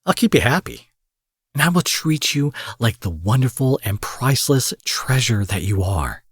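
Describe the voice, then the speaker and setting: A man playing a protective, possessive supervillain in an intimate audio roleplay, husky and theatrical, moving between forceful speech and breathy murmurs. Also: medium pitch, sing-song, moderate pace, dry recording, studio quality. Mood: tender, devoted. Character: smooth protective male supervillain courting his captive rival.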